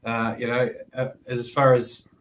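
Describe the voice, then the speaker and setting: A man with a New Zealand accent, a former sevens player chatting as a podcast guest in a relaxed, rambling way. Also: mid-range voice, unhurried, a little hiss or hum. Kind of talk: casual podcast chat.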